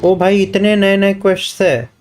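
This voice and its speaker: Male gaming streamer, casual host delivery, very quick, mid-pitched, faint music behind. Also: steady tone. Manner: informal, mixes English into speech. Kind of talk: casual game-stream commentary. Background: faint game music.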